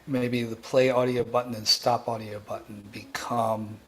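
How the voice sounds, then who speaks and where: A man hosting a live coding tutorial, thinking aloud in a halting way and repeating phrases as he reasons. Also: mid-range voice, level tone, fairly bright tone. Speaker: programming tutor and livestream host.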